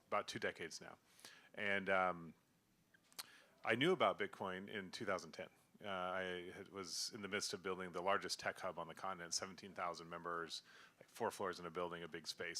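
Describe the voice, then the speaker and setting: Man, middle-aged, from Sudan and Kenya, low voice, bright tone, rambling storyteller, live bar mic. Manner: relaxed storytelling with frequent restarts and fillers. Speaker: guest, company founder. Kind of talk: casual pub panel chat. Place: live bar with audience, feedback on the PA.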